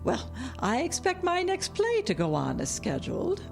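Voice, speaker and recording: A woman's voice: high-pitched, huge pitch swings, normal speed, creaky, over music, heavily acted. An actress playing a motherly, theatrical older woman in an audio drama, with a dramatic, solicitous manner.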